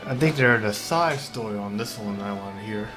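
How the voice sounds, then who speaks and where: Woman, extremely low-pitched, gaming streamer, casual commentary, clear game music in background. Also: normal speed. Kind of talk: casual reactions over gameplay.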